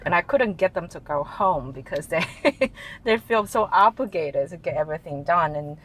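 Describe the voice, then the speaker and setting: A middle-aged woman from Taiwan, answering interview questions in a relaxed way, often restarting sentences and joking. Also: lowish voice, moderate pace, breathy edge, low-quality recording. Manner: hesitant, restarts often, jokes and laughs. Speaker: guest, tech executive. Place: office in Shanghai.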